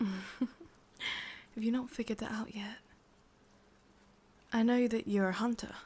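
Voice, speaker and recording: A woman with an English accent voicing a possessive, sadistic witch in a slow, breathy ASMR roleplay whisper. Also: on the high side, steady tone, a little mellow. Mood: smug, teasing. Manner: slow, intimate, teasing. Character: sadistic, possessive witch, softly menacing and doting.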